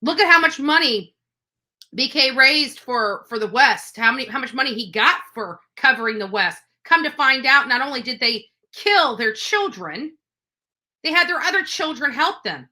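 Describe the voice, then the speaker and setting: Bright, forceful, higher-pitched woman commentator. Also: lively intonation, normal speed. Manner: emphatic, accusatory, repeats phrases for force. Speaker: livestream commentator on true crime cases. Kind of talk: unscripted opinionated livestream commentary.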